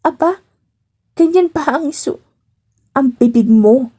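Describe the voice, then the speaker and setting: A woman's voice: high voice, lively intonation, measured pace, warm tone, pitched above the performer's own voice. A woman voicing a nosy young female office friend in an audio drama, teasing and scolding. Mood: teasing disbelief.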